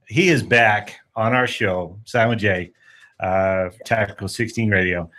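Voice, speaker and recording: A man with a lowish voice hosts a relaxed sports talk show. He sounds upbeat, laughs often and circles back on his own words as he chats with callers and co-hosts. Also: unhurried. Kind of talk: casual sports radio chat.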